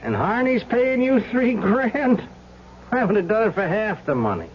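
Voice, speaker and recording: Needling gangland henchman: highish voice, lively swings, boastful, vintage radio drama. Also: normal speed. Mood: taunting. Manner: taunting, boastful. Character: cocky, needling male mob underling. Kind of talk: old-time radio crime drama acting. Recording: muffled, hissy old radio recording.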